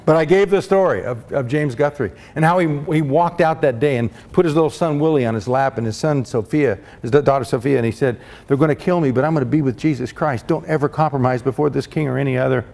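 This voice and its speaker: A man preaching a storytelling sermon, impassioned, rising to loud peaks when he quotes the heroes he describes. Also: mid-range voice, fast talker, creaky, hardly any pauses. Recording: long hall reverb.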